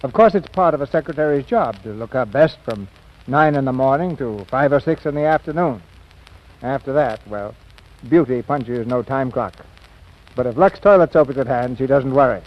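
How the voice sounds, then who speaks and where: A man's voice: mid-range voice, expressive, moderate pace. A man announcing and narrating in a polished, theatrical 1940s radio style. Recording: dull old radio recording. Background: clear background music.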